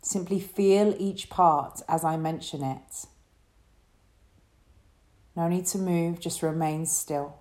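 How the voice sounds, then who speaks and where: A woman leading a guided relaxation meditation as a yoga teacher, speaking in a soothing, gentle way. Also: on the low side, steady tone. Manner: soothing, gentle, unhurried instruction.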